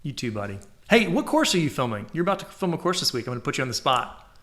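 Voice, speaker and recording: A man's voice: fairly high pitch, very animated, fast, a bit bright. Upbeat man with a drawl. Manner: upbeat, promotional, says y'all. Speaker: podcast co-host. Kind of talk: casual live-stream chat.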